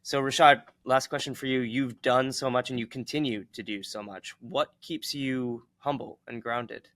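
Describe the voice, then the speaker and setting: A man's voice: medium pitch, steady tone, normal speed, fairly bright tone, no echo. A man hosting an interview, putting considered questions to his guest. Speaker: interviewer, host.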